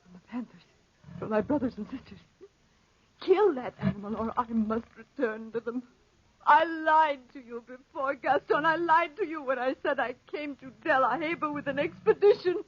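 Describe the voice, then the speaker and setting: A woman's voice: high-pitched, moderate pace, natural voice. An actress playing a fearful, secretive desert woman, pleading and breathless, in 1940s radio drama style. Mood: desperate, pleading. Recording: muffled vintage 1940s radio recording.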